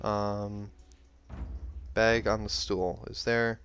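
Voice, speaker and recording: A man's voice: fairly low pitch, level tone, very slow, fairly bright tone, a little hiss or hum. A man narrating a fantasy novel aloud, with informal, self-correcting asides as he reacts to the text. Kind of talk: audiobook narration with casual asides.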